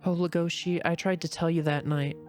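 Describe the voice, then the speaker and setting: A man voicing a shy, earnest young female rabbit in an amateur dramatic reading, speaking softly and from the heart. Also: fairly high pitch, little pitch movement, fairly quick, soft background music, lightly acted. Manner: soft, heartfelt, anxious inner murmuring. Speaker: voice actor in a fan reading.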